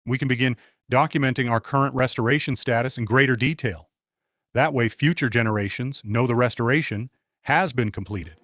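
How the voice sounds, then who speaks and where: Man playing earnest church member, middle pitch, dry sound. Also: brisk, a bit bright, dry recording, natural voice.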